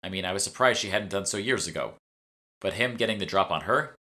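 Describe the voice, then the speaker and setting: A man's voice: deep voice, lively intonation, fast, pushed and bright. A man voicing an exasperated, questioning fantasy protagonist in an audiobook. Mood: taken aback. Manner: incredulous, complaining. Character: exasperated, questioning sidekick narrator of urban fantasy.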